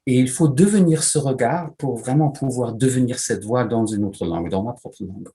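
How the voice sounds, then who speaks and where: A man whose first language is German, a literary translator asking questions and giving measured, prepared remarks in an interview. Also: mid-range voice, warm tone.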